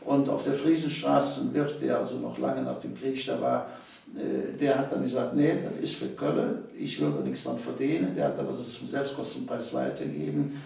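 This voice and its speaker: A man in his seventies speaks with a creaky, gravelly edge. He tells his memories conversationally and emphatically, often repeating phrases. The recording is very echoey, with him well away from the microphone. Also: medium pitch, moderate pace, warm tone. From Cologne, Germany. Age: 70s. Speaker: interview guest, storyteller of local history. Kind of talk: conversational eyewitness storytelling. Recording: echoey room, distant microphone.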